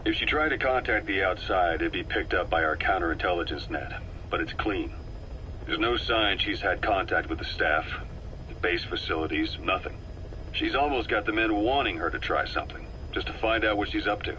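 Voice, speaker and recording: Deep, forceful military intelligence officer. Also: male voice, lively intonation, moderate pace. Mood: calm, analytical. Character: cool, calculating veteran mercenary intelligence officer, male. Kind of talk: video game voice acting, briefing dialogue. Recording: noisy radio-like cassette tape recording.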